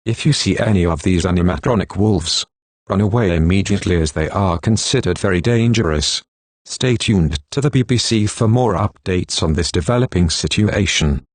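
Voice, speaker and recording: A synthetic text-to-speech man's voice reading a scripted emergency broadcast announcement in a formal, measured, impassive manner. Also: sits low, dry recording.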